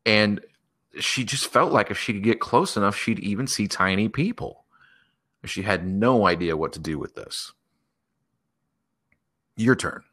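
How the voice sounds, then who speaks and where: A man, a podcast guest, improvising a story in measured phrases with pauses as he builds the tale. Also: lowish voice, a bit bright.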